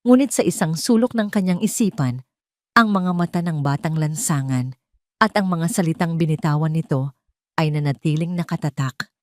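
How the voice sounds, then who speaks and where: A woman narrating a scripted audio drama in a deliberate, dramatic storytelling manner. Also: low voice, a little mellow, no room sound.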